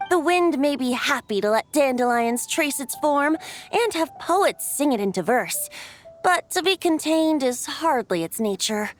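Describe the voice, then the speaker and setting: A woman's voice: sits high, lively intonation, measured pace. A woman voicing a playful, poetic, carefree young male wind bard in a video game, with a lyrical, airy, teasing manner. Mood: coy, amused. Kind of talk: scripted game character dialogue. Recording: studio voice over background music.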